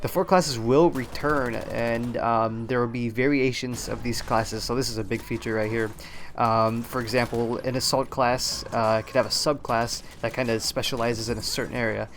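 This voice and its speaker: A slightly hoarse man giving casual solo commentary on a video game reveal, rambling, often correcting himself and admitting he is unsure. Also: mid-range voice, a little hiss or hum. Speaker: gaming commentator.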